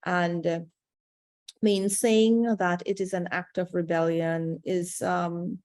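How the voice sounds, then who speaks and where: A woman, a third-generation cocoa farmer answering webinar questions over a video call, measured and diplomatic with frequent hesitations. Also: fairly low pitch, little pitch movement, a little mellow, dry recording.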